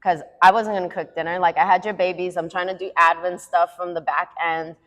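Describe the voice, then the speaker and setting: A woman speaks candidly on a podcast, her voice slightly low and full of life. She laughs easily and speeds up when she vents. The sound carries a long, hall-like reverb. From Puerto Rican heritage. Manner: animated, laughs often, quick bursts when venting. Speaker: podcast co-host. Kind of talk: candid casual chat.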